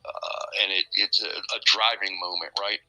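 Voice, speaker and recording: An American man telling his story as an interview guest, in a reflective, halting, conversational way. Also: low voice, fairly even intonation, bright, forceful tone, poor audio. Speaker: search team member interviewed as guest.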